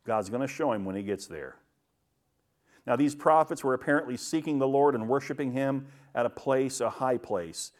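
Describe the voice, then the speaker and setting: A man preaching a verse-by-verse Bible sermon as a pastor, emphatic, using rhetorical questions and pauses for stress. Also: mid-range voice.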